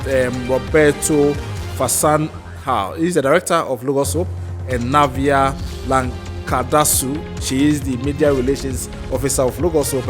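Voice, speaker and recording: A man hosts a television interview, putting questions to his guests. His voice sits at an ordinary male pitch, and music plays clearly behind him. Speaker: TV interview host. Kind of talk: studio interview.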